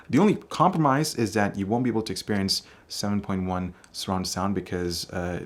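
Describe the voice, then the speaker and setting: A man with a deep, bassy voice giving a casual product review, talking loosely with frequent fillers. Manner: conversational, hedging with fillers. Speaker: tech reviewer.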